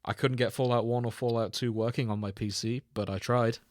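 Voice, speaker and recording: A man's voice: mid-range voice, fairly even intonation, a little fast, a little mellow, dry recording. Male podcast host, mid-range voice, informal banter, very little room sound. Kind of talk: casual banter between co-hosts.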